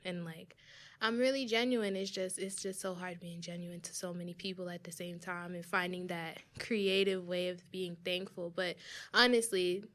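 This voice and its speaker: A soft-voiced young woman talks in a relaxed, chatty way as a podcast guest. She tells her stories loosely and laughs easily along the way. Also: lowish voice, moderate pace. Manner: relaxed storytelling, laughs often, acts out quoted voices. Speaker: guest, music artist. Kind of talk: casual podcast conversation.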